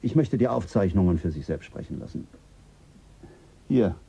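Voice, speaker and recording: An actor playing a measured mission official explaining a space voyage in a German radio drama, with a husky voice. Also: male voice, low voice, lively intonation, warm tone, dull audio, full character voice. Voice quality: husky, gravelly.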